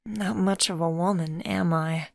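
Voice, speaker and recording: A woman's voice: fairly low pitch, steady tone, a little slow, creaky, no echo. A woman voicing a shy, self-conscious young adult who is a boy inside, speaking hesitantly and timidly. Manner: hesitant and timid, soft sheepish replies.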